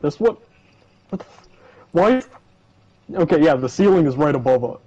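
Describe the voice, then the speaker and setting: A man's voice: fairly high pitch, lively intonation, moderate pace, warm tone. A young man in casual, sweary, joking banter while playing a game over voice chat. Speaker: co-op gaming partner. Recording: dull voice chat audio.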